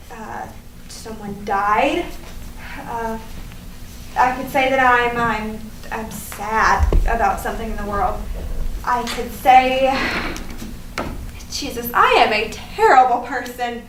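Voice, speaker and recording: A woman's voice: a little high, slow pace, pauses often. A woman acting a frantic, melodramatic young woman who rambles and exclaims in a comedic staged reading. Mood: fretful. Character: frantic young woman agonizing over social media feud. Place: live staged reading in a room. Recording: roomy, distant mic, faint noise.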